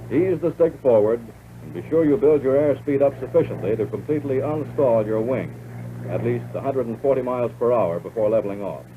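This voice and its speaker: An American man reading scripted instructional narration for a 1940s military training film in a measured, instructive way. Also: medium pitch. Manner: measured, instructive, addressing the listener as pilot. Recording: tinny band-limited 1940s film soundtrack, loud noise.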